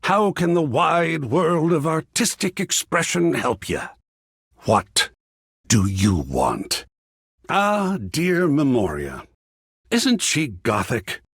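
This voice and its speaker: A man's voice: a little high, very animated, a bit bright, slightly rough, no room sound, clean studio sound, exaggerated voice. A man playing a flamboyant, cultured art curator in a video game, effusive and fussy, with grand theatrical phrasing. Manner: grandiloquent, dramatic drawn-out phrasing, swooping exclamations. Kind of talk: video game character voice lines.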